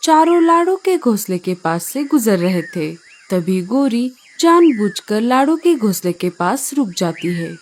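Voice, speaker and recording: A woman's voice: on the high side, very animated, measured pace. A woman narrating a scripted children's story.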